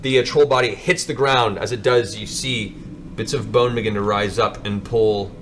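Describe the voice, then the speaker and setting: A man's voice: lowish voice, steady tone, normal speed. A man working as game master, giving dramatic narration of a tabletop role-playing game.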